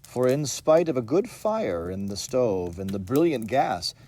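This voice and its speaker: A man narrating a first-person gothic horror story in a measured, theatrical, expressive manner. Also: medium pitch, slight background noise. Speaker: audiobook narrator. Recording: music under the voice. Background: music.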